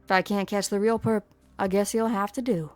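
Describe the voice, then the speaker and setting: A woman's voice: mid-range voice, fairly even intonation, brisk, a little mellow, lightly acted. A woman voicing a sly, teasing, threatening law officer in a game drama fan dub. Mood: menacing. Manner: taunting, assertive. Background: background music.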